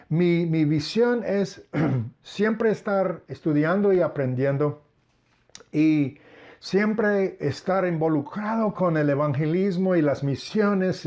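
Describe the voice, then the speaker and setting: A man in his sixties speaks as a pastor, earnest and unhurried, addressing his listeners as brothers and sisters. His voice sits a little high for a man. The recording is dull and lo-fi. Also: a little mellow. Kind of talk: personal ministry testimony.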